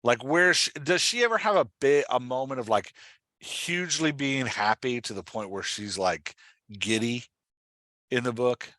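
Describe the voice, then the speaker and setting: A man with a bright, forceful voice speaks as a host and coach, recorded close and dry. He explains emphatically in a conversational style and often steers with leading questions. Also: mid-range voice, animated, moderate pace, no room sound. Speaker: podcast host and writing coach.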